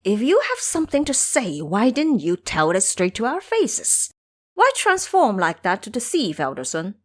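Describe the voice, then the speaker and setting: A cocky, crafty monkey hero of an audio drama, acted by a woman. The delivery is boastful and theatrical, with pitch that leaps and dips freely as he schemes. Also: huge pitch swings, normal speed. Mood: scolding. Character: cocky, scheming male monkey warrior, called great sage.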